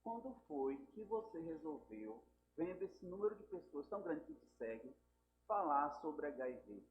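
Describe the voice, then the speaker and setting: A man's voice: fairly high pitch, sing-song, moderate pace. A man co-hosting a podcast, asking his guest earnest questions with a touch of humor. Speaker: podcast co-host. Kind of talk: casual podcast interview. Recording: echoey room, phone-like sound.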